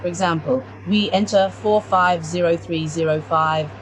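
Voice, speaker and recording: A woman's voice: fairly low pitch, normal speed, a little hiss or hum, poor audio. A woman giving step-by-step instructional narration as a product demonstrator.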